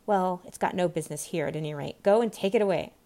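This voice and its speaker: A woman's voice: on the low side, quick pace, dark tone, lightly acted. A woman voicing a bossy, angry rabbit master in a storybook, with an imperious, scolding, exasperated manner. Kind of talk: storybook character voice.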